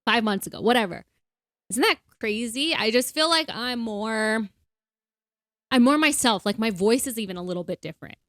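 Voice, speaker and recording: A young woman chats away on a podcast, bubbly and loose with fillers. Her voice sits a little high. The sound is close and dry, with no room around it. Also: expressive, normal speed, a bit bright, no echo. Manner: chatty, bubbly, many fillers. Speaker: podcast co-host. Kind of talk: casual chat.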